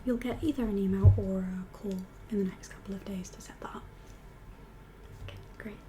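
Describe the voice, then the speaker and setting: Soft whispery lady doing asmr doctor roleplay, calm n reassuring, mellow, bit of background noise. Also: mid-range voice, a little fast. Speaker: ASMR performer playing a doctor.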